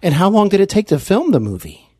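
Halting male interviewer, slightly husky. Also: sits high, sing-song, a little mellow, no echo, studio quality. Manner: hesitant, halting, complimentary. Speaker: podcast interviewer, host. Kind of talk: casual interview.

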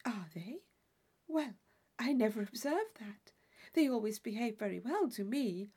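A woman's voice: on the high side, animated, a little slow, mellow, husky. A woman voicing an innocent, earnest young girl in an audiobook of a classic novel, artless and polite.

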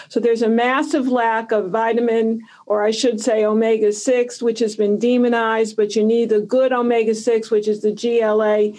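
A woman's voice: a little high, warm tone. A woman in her seventies giving emphatic, instructive answers as a health expert guest in an interview. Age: 70s.

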